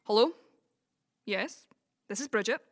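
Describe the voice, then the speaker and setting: A woman with a Scottish Dumfries accent acting in an audio play as a brash, gossipy, blunt friend with a soft side. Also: a little high, animated, measured pace, very noisy. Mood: businesslike. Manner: chatty, blunt, sweary. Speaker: community cast actor. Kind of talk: community audio drama, colloquial dialogue.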